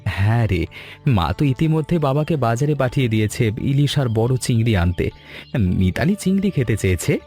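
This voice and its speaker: A man's voice: mid-range voice, very animated, normal speed, warm tone, soft background music. A man playing a cheerful young married man in a cartoon story, speaking affectionately and familiarly. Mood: cheerful, fond. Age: young adult.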